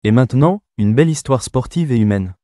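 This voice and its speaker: A man's voice: medium pitch, animated, a little fast, mellow, faint music behind. A man newsreader presenting a scripted news bulletin.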